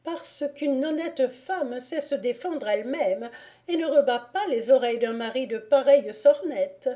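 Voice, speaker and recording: A woman's voice: sits high, a little slow, warm tone, voice pushed up. A woman voicing a coy married lady who plays innocent and wronged in an affected manner, in a storybook reading. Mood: feigned indignation.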